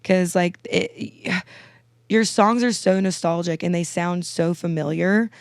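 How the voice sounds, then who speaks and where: A young woman in her twenties, naturally raspy and a bit stuffed up from a cold, chatters eagerly and piles on fillers. Her voice stays smooth and clear under the rasp. The sound is dry and close. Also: lowish voice, a little slow, no room sound. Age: 20s. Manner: chatty, gushing, lots of fillers. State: a little sick, sinusy. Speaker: guest singer-songwriter. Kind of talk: casual podcast chat.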